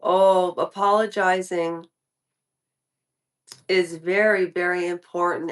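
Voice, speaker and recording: Slow, rambling woman in her fifties. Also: on the low side. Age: 50s. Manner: rambling, restarts and trails off mid-thought. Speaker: YouTube commentary creator. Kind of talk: casual vlog talk to viewers.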